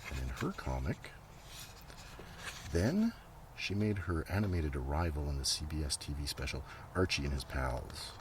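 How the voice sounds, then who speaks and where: A man speaking in a soft, casual ASMR ramble that often slips toward a whisper, with unhurried murmured asides. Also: a bass voice, very animated. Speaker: host of a comics collection ASMR video. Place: outdoors. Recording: noisy outdoor recording with breeze. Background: breeze, page flipping, ambient noise.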